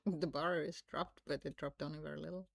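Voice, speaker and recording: A woman's voice: sits low, steady tone, brisk, gravelly, no room sound, studio quality. A woman chatting casually and agreeably as a chess co-commentator. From Estonia.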